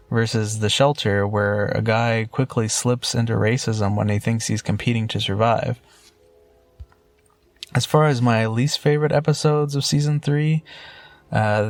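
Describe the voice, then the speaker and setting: A man's voice: on the low side, no room sound. A man with an American accent giving prepared commentary on television episodes as a listener chiming in. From Los Angeles, USA.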